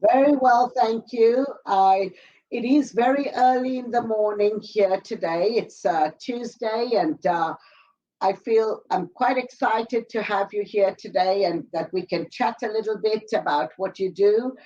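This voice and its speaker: A woman's voice: mid-range voice, unhurried, mellow, lo-fi sound, slight background noise. A woman interviewing a guest for a sewing business, speaking hesitantly with many fillers and restarts over a video call. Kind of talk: scripted interview questions.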